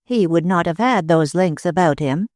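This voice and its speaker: A reader voicing a thin, pale woman clairvoyant who speaks slowly and with emotion, in an audiobook. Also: mid-range voice, a little mellow, no room sound, clean studio sound, close to an everyday voice. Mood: calm. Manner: slow, emotional, solemn. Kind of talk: audiobook reading.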